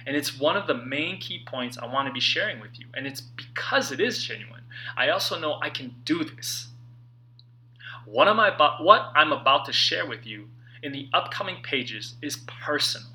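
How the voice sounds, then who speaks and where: A man in his late thirties reading his own motivational book aloud in an earnest, conversational way with dramatic pauses. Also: on the high side, sharp tone, low-quality recording. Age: late 30s. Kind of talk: personal audiobook narration, motivational.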